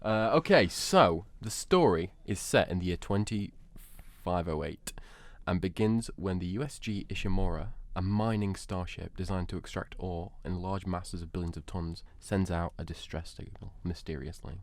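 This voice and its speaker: Low-voiced man on a debate podcast. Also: moderate pace, hardly any pauses. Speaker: podcast panellist. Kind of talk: casual debate chat, some reading of a prepared pitch.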